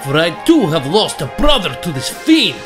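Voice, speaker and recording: Lady playing a vengeful guy on stage, very animated old-timey declaiming, music behind. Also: on the low side, normal speed. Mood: grim, defiant. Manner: dramatic mock-archaic declamation. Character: male troupe actor playing a vengeful stage kinsman. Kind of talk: theatrical audiobook acting.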